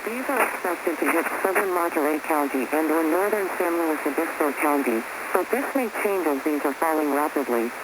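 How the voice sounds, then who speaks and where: A county emergency announcer delivering an official message in a measured, formal way. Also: mid-range voice. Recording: loud background noise. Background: noise.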